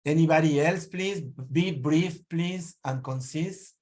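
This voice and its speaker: A man with a fairly high voice hosts an online meeting. He is courteous, often doubling his phrases as he nudges participants along. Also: takes it slowly, darkish tone. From Peru. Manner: courteous, repeats himself to urge speakers.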